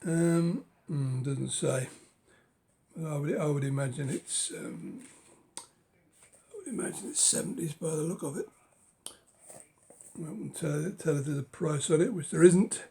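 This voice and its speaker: Hoarse slow-talking man with a cold. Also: on the high side, dark tone, a little hiss or hum. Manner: halting, many ums and uhs, drops to a whisper. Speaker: book collector vlogger. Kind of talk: casual show-and-tell of books.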